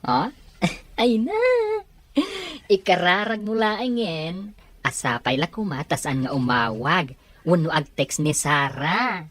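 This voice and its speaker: Woman voicing teasing male friend, Ilocano accent, lively pitch, radio drama. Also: takes it slowly, full character voice.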